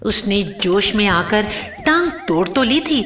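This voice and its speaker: A woman's voice: mid-range voice, expressive, unhurried, a bit bright, faint music behind. A woman narrating a scripted cartoon story as a storyteller.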